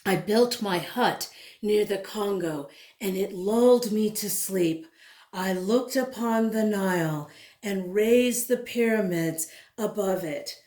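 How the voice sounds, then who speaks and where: A woman reads a picture book aloud for children at a slow, measured pace. Her phrasing is dramatic and deliberate, with words stretched out for emphasis. The recording has a noticeably roomy, echoing sound. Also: mid-range voice, a little mellow. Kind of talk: expressive storybook read-aloud.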